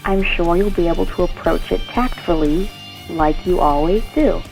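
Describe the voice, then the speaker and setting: An actress playing a gossipy hospital nurse in an audio soap opera, confiding and tentative in manner. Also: female voice, on the low side, moderate pace, music in the background, close to an everyday voice, low-quality recording. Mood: confiding, wry.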